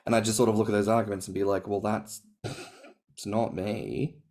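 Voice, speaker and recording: Guy on a podcast, kinda low voice, gets really emphatic and swoops up high. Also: brisk. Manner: expressive, big pitch swings, emphatic. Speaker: podcast co-host or guest. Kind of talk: casual podcast chat.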